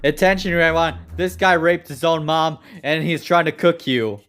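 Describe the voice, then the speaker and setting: A man's voice: a little high, normal speed, a bit bright, clear, resonant tone, natural voice. A man voicing a brash, vengeful, foul-mouthed young cartoon villain with a taunting, exaggerated delivery in a fan parody dub. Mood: accusing. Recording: roomy echo, faint music behind.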